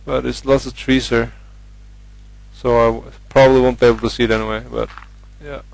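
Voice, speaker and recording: A young man from Norway speaks English with a Norwegian accent while commentating a game. His delivery is flat and even, with little rise and fall. He hesitates often, filling gaps with ums and uhs as he chats. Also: mid-range voice, a little fast, gravelly, no room sound, poor audio. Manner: hesitant, many fillers, chatty. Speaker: gamer.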